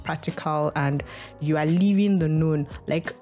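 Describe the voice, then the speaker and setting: A woman's voice: sits low, unhurried, darkish tone, faint music behind. Rambling young Nigerian woman. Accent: Nigerian English. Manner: rambling, heavy use of "like" and restarts. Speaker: podcast host. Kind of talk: casual reflective monologue.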